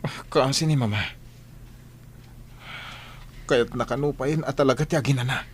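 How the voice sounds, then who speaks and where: A man acting as an earnest adult son and boss in a radio drama, speaking in a measured way. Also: medium pitch, steady tone, a little hiss or hum, natural voice. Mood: concerned. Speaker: actor.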